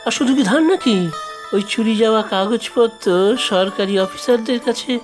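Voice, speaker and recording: A man's voice: very high-pitched, moderate pace, music in the background. A man voicing a bold village henchman in a Bengali cartoon, with a dramatic, pointed delivery. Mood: sly, pointed. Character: moneylender's bold village henchman, secretly righteous.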